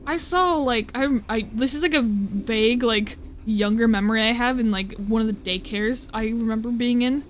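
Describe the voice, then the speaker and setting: A woman's voice: fairly high pitch, normal speed, hardly any pauses, soft background music. A young woman streaming live, chatting casually and rambling, often cutting herself off and swearing. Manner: rambling, swearing, with self-interruptions and laughs.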